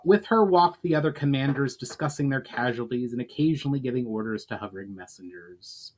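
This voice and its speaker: A man narrating a fantasy story as its author, in a measured, formal storytelling manner. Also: mid-range voice. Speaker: author reading own book. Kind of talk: dramatic audiobook-style narration of fantasy fiction.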